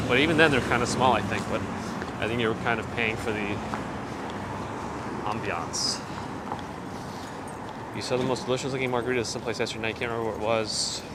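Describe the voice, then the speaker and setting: A man hosting a live walking stream on a city street, chatting casually and answering viewer comments as he walks, recorded on a phone on a handheld gimbal. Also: medium pitch. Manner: relaxed, conversational, reads out and replies to chat messages. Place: outdoors on a city street at sunrise. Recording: loud street noise outdoors. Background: city traffic and street noise.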